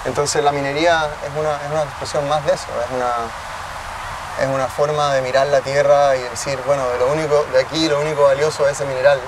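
A man's voice: on the high side, little pitch movement, fast talker. A man in his thirties with a Chilean accent, giving reflective interview answers in a city park and often restarting his phrases. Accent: Chilean Spanish. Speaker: guest, tech cofounder. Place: outdoor park under a tree, city. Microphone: distant camera mic. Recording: distant mic, city traffic noise.

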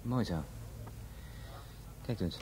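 An actor playing a formal, guarded drug supplier who speaks with measured politeness in a Dutch radio play. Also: male voice, on the low side, animated. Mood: businesslike. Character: courteous, guarded foreign drug supplier, a man. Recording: noisy radio drama recording.

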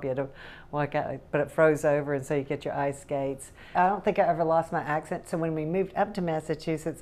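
A woman's voice: sits low, a little mellow. A woman in her sixties with a faint Southern accent, recalling childhood memories in a rambling, chatty way at her kitchen table. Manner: rambling, chatty, laughs often. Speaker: interviewee. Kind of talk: casual recollection. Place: home kitchen, indoors.

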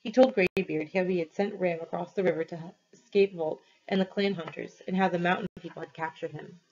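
Lady teacher reading a story out, lowish voice, slightly dull audio. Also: fairly even intonation, a little fast, a little mellow, breathy edge. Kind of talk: expressive story read-aloud.

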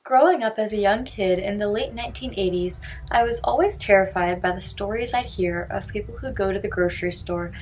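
A woman's voice: mid-range voice, fairly quick, a little mellow, slight background noise, low-quality recording. A young woman reading a scripted first-person story aloud with dramatic delivery.

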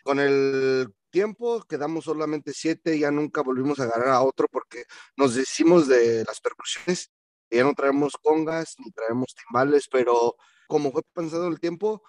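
A man from Mexico, a band vocalist telling stories in a relaxed, slangy way on a video call, slipping into English now and then. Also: medium pitch, normal speed, no echo. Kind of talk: casual storytelling in an interview.

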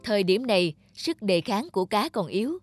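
A woman's voice: medium pitch, sing-song, moderate pace, slightly rough, dry recording, studio quality, faint music behind. A woman playing an earnest, determined young male fish farmer in a cartoon. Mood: confident instructing. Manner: earnest, resolute. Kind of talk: cartoon dub acting.

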